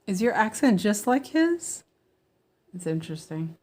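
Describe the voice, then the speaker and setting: A woman's voice: mid-range voice, sing-song, measured pace, a little mellow. An American woman chatting in a rambling, meandering way with her viewers as she stitches during a casual livestream.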